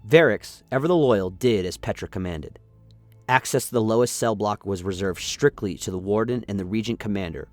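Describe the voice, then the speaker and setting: A man's voice: on the low side, brisk, no room sound, soft background music. A man reading scripted, dramatic story narration as the narrator of a radio play.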